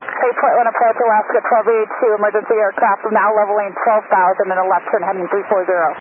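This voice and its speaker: A woman's voice: medium pitch, level tone, fast, noisy background. A woman airline pilot making businesslike, procedural calls over an aircraft radio. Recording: narrow, tinny aircraft radio.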